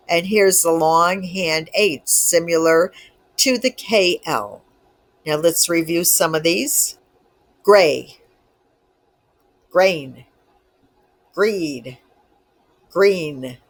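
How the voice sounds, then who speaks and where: A woman reading instructional material aloud as a teacher, in a deliberate, measured dictation style with words set apart. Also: on the low side. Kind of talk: scripted instructional reading, with word lists.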